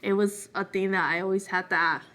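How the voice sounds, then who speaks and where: A woman's voice: medium pitch, brisk. A woman in her twenties from El Salvador, a podcast guest talking casually and plainly, with many fillers. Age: 20s. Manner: relaxed and plainspoken, with frequent fillers. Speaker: interview guest, ultramarathoner. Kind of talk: casual conversation.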